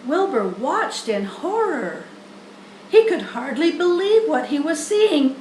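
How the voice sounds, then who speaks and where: A woman reads a children's story aloud at an unhurried pace. Her voice is high, and the room is echoey with some background hiss. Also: big pitch swings, a little mellow. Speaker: storybook reader. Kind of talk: children's book read aloud.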